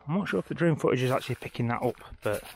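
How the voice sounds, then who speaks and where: A man's voice: mid-range voice, animated, rapid-fire, vocal fry. A man with a mid-range voice talks casually to his vlog viewers. He is chatty and informal, thinking aloud while out walking. The recording is outdoors, with slight noise behind his voice. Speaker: travel vlogger. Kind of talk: casual walk-around vlog commentary. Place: outdoors, walking around a village churchyard.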